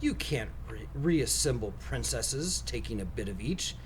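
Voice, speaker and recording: A man voicing a vain, cruel young prince from a storybook, pompous, contemptuous and quick to anger. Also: mid-range voice, expressive, a little hiss or hum, close to an everyday voice, poor audio. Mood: scornful, furious.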